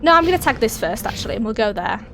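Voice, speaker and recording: Raspy woman with a cold, gaming. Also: on the high side, racing. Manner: chatty, thinking aloud while playing. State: hoarse with a cold. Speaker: let's play host. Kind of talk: casual gameplay commentary. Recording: voice over steady game engine noise.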